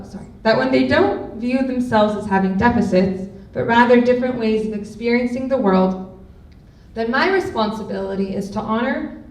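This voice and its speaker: A woman's voice: on the high side, darkish tone. A young woman from Los Angeles giving an earnest prepared speech as a student speaker, with small slips. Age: young adult. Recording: echoey hall, far from the mic, noisy.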